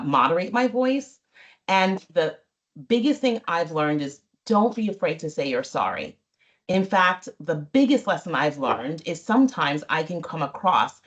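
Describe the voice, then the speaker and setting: Woman on a webinar panel, strong voice, candid personal advice, deliberate and emphatic. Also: low voice. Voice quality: loud, strong voice.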